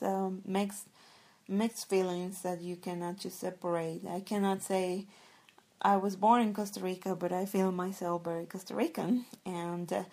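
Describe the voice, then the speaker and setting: A woman's voice: lowish voice, darkish tone. A woman from El Salvador, a guest speaking reflectively and searching thoughtfully for her words. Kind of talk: reflective conversation.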